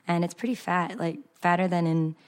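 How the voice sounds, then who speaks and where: A woman's voice: fairly low pitch, moderate pace. A young adult woman chef from San Jose, chatting casually as a podcast guest, laughing often and trailing off mid-sentence. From San Jose, California. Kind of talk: casual chat.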